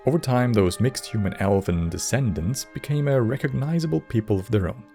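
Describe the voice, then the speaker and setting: A man reading scripted lore narration in a measured, wry, explanatory way, as a video essay narrator. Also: medium pitch, expressive, mellow. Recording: music bed under narration. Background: background music.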